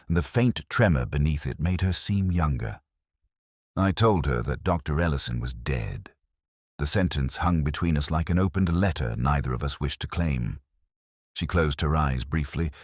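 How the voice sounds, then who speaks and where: A man narrating a scripted first-person detective story in a measured, reflective, literary manner. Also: very deep voice, husky, no room sound, clean studio sound. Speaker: audio drama narrator.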